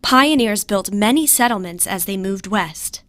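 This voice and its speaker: A woman's voice: mid-range voice, expressive, unhurried, a bit bright, no room sound, studio quality. A woman reading words, example sentences and definitions in a scripted educational style. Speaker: vocabulary lesson narrator.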